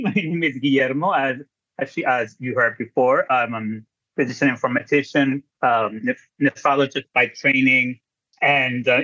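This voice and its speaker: A man's voice: mid-range voice, bright, forceful tone, dry recording, muffled recording. A man with a Chilean-tinged New Jersey accent, a physician guest talking in a chatty, self-deprecating way. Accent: Chilean-tinged New Jersey English. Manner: chatty, self-deprecating, many restarts and laughs. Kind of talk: casual podcast interview.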